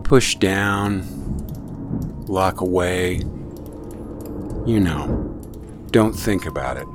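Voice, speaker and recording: A man hosting a radio show, telling reflective stories in a halting way that often restarts phrases. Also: low voice, background noise. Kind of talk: reflective storytelling monologue. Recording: music bed under speech. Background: music under the voice.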